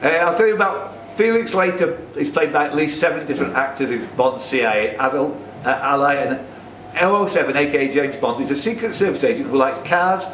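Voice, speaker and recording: A man's voice: a little high, a little fast, a bit bright. A man hosting a live quiz, reading out questions and bantering in a teasing, jokey way. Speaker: quiz master. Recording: roomy, noisy recording from a distance.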